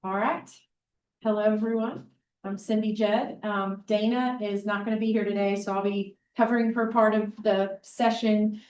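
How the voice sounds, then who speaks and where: A woman with a big voice presenting a teaching workshop as a librarian and facilitator, talking in a relaxed, conversational way with many ums. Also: medium pitch, normal speed. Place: meeting room or classroom. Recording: roomy echo, mic far off.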